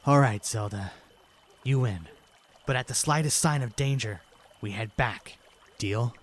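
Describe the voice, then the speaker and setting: A man playing a protective young hero knight in an audio drama, measured, firm and serious. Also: medium pitch. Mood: resigned, serious.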